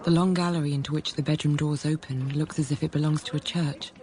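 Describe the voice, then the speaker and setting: An actress playing a restless, self-controlled young governess in a radio drama, speaking in a measured, introspective way. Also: female voice, low voice, fairly even intonation, dark tone, natural voice. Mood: quietly observant. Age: young adult. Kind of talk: radio drama, first-person literary narration and dialogue. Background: background music.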